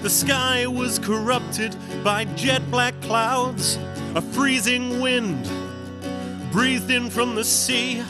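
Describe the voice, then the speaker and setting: A woman with a fairly high voice delivers a folktale extremely slowly, almost as song. Loud folk guitar music plays along with her. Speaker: folk performer. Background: prominent guitar folk music.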